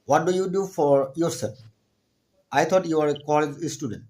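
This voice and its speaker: A man's voice: a little high, moderate pace, darkish tone, a little hiss or hum. A man from Bangladesh, flirting in broken English on a casual video call. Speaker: vlogger chatting with strangers.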